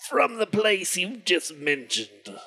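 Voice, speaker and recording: A man voicing a smooth, quietly menacing devilish gentleman stranger. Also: sits high, big pitch swings, slow pace, a little hiss or hum, heavily acted. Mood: sly. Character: suave sinister devilish male stranger, gentlemanly.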